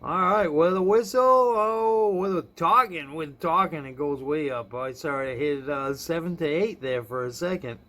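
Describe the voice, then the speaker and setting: A man with a slightly high voice talks through a hands-on product demo. He is informal, thinks aloud and tends to chuckle. The indoor recording has some noise behind him. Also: moderate pace, hardly any pauses, pushed and bright. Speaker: product reviewer. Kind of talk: casual hands-on gadget demo. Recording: clearly noisy room recording.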